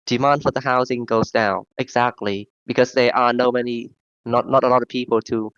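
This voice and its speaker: A man's voice: medium pitch, level tone, a bit bright, clear, resonant tone, dry recording, lo-fi sound. Rambling man with a sore throat. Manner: rambling, halting, full of fillers. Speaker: discussion participant. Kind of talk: casual group voice chat.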